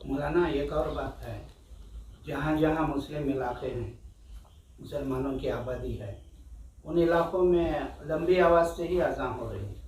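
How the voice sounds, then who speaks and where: Male reporter, slightly high voice, off-mic in heavy echo with faint noise, putting informal questions. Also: measured pace, a little mellow. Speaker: interviewer. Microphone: off-mic, away from the microphone. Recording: very echoey, distant, faint background noise.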